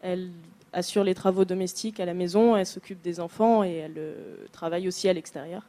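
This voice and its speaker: A woman interpreting a speaker's words into French, plain and steady with brief pauses. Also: fairly low pitch. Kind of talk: consecutive interpretation.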